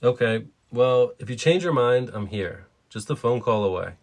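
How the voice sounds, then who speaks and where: A sceptical but concerned father in an audio short story, acted by a man. He questions steadily and probingly in a mid-pitched male voice. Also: lively intonation, normal speed, close to an everyday voice.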